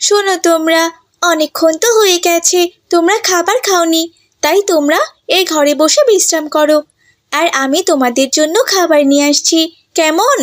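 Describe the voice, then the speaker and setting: A woman voicing a gentle, protective mother duck in a cartoon fairy tale, soothing and cautioning. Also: very high voice, moderate pace, no echo, clean studio sound. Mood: tender. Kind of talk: animated fairy-tale voice acting.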